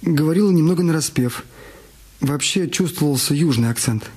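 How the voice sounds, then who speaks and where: A man in a radio play speaking as a reluctant witness, recalling fellow passengers in a measured way. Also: on the high side, warm tone, slightly rough. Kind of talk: radio drama reading, narration and dialogue. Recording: studio radio play with music underneath.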